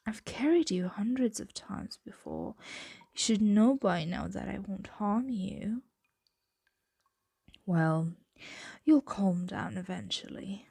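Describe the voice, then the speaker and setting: A possessive, tender dragon voiced by a woman murmurs in an intimate ASMR roleplay. The delivery is very slow and coaxing, often sinking to a whisper. The tone is dark and velvety, with a creaky edge, caught close on the mic. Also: mid-range voice, animated, dry recording, full character voice. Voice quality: breathy, soft, often whispering. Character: possessive, tender dragon hoarding a human pet. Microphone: close microphone.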